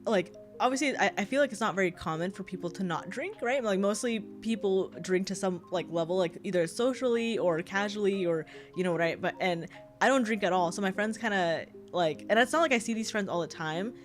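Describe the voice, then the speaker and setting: A young woman chats with her stream audience, a bit stuffed-up and low on energy. She rambles from story to story and giggles often, her voice sitting at an ordinary middle pitch. Soft music plays faintly behind her. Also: fairly quick. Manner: rambling, giggly, chatty with viewers. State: congested. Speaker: VTuber streamer. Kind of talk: casual livestream chat.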